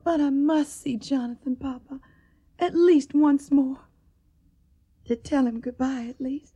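An actress playing an earnest, devoted young Tennessee daughter in a radio drama, with a soft, breathy, tender way of pleading. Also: female voice, high-pitched, measured pace. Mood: distressed, pleading.

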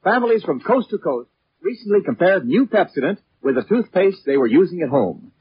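High-pitched emphatic American radio announcer man. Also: very animated, fairly quick, a little hiss or hum. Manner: emphatic, selling. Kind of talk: 1940s radio announcing and advertising. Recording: muffled vintage radio recording.

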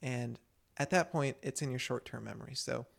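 Gravelly, chatty male podcast co-host. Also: medium pitch, normal speed. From United States. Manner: chatty, rambling with many restarts. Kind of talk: casual chat.

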